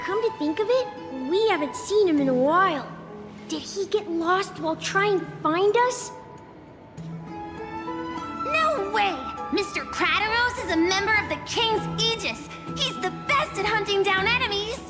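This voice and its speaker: A woman's voice: very high-pitched, lively intonation, unhurried, in a large hall, over music. A woman voicing an eager, proud little child of a warrior people in a video game, earnest and boastful.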